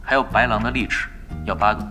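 An actor in a Mandarin audio drama playing a cool, sly young expert gamer who bargains in a nonchalant, teasing way. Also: male voice, on the low side, fairly even intonation, fast talker, music in the background, close to an everyday voice.

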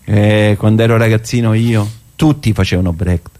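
A man's voice: on the low side, steady tone, moderate pace, darkish tone, natural voice. A man playing a reflective, self-doubting older political militant in theatrical dialogue for radio, speaking hesitantly. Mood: nostalgic. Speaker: actor.

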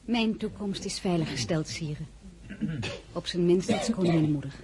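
An actress playing a dignified, quietly defiant French princess and mother in a historical radio drama, measured and often dropping to near whisper. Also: lowish voice, animated, crowd noise, lightly acted. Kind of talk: Dutch-language historical radio drama acting.

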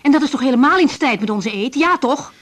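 A woman's voice: sits high, expressive, a little fast. An actress playing an earnest, troubled young female doctor in a naturalistic radio drama, speaking in a direct, insistent, conversational way. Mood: troubled, pleading. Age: young adult.

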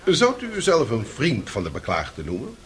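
An actor playing an insistent public prosecutor in a radio play, questioning formally and probingly. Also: male voice, medium pitch, wildly swooping pitch, moderate pace, slight background noise.

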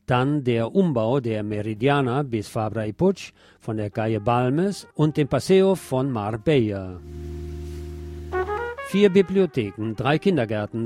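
A man's voice: mid-range voice, a little mellow. Male radio news presenter over music. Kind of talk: scripted news narration. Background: music under the voice.

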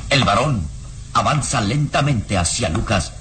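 A man acting as the solemn, suspenseful narrator of an old radio drama, in a measured delivery. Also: medium pitch, lively intonation, a bit bright, slight background noise. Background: dramatic music.

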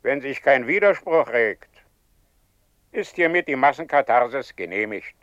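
An actor playing a stern presiding official of a guild in a radio drama, speaking in a formal, measured way. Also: male voice, medium pitch, lively intonation, fairly bright tone, no room sound, dull audio. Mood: formal, solemn. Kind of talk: German radio drama acting.

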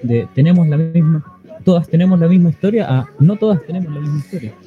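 A man's voice: fairly high pitch, fast talker, faint music behind. A Chilean man presenting a community radio show as its host. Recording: dull lo-fi radio audio.

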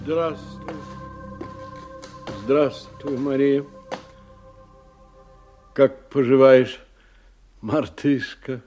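An affable, slippery businessman speaks at an average male pitch. He placates people with hurried, repeated words in a radio play, over faint music. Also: steady tone, a little mellow. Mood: friendly, uneasy. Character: affable, evasive male businessman humoring those around him. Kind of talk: Russian radio play acting.

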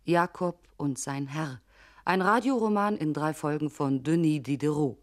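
A woman's voice: deep voice, normal speed. A woman reading out titles as a formal radio drama announcer.

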